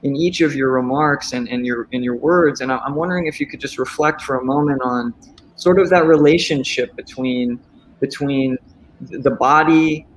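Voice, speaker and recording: A man's voice: mid-range voice, moderate pace, slight background noise, low-quality recording. Hesitant man moderating a panel. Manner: hesitant, many restarts, thoughtful. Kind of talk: panel discussion.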